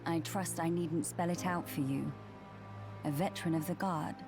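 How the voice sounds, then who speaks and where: An actress playing a composed, stern noblewoman in a video game cutscene, speaking in a formal, measured, authoritative way. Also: lowish voice, steady tone, over music, close to an everyday voice. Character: composed, stern noblewoman urging a veteran to act.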